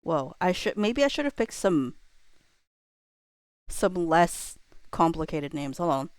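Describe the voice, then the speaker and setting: A woman's voice: lowish voice, very animated, takes it slowly, no echo. A woman livestreamer chatting casually and thinking aloud, with a playful, self-deprecating and hesitant manner, muttering to herself as she works.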